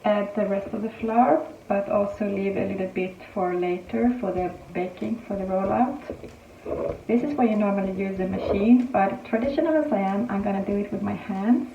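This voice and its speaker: Mellow-voiced woman chatting in echoey kitchen. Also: medium pitch, moderate pace, gravelly, muffled recording, a little hiss or hum. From Greece, raised in Sweden. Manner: chatty, explains each step as she bakes. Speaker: home cooking vlogger. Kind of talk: casual cooking demonstration. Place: home kitchen. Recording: echoey room with faint music.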